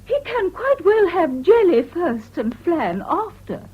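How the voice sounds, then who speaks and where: A woman's voice: extremely high pitch, big pitch swings, takes it slowly, heavily acted. An actress playing an anxious, genteel English mother in a radio drama, fluttery, placating and emotional. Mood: protesting, upset. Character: anxious, genteel mother protecting her young son. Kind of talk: 1970s BBC radio drama acting. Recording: dull, noisy old radio recording.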